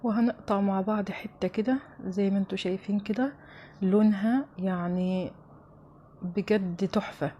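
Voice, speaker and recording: A woman's voice: medium pitch, steady tone, moderate pace, pauses often, lo-fi sound, slight background noise. A woman with an Egyptian accent hosting a home cooking show, chatting familiarly to viewers as she narrates a recipe step by step.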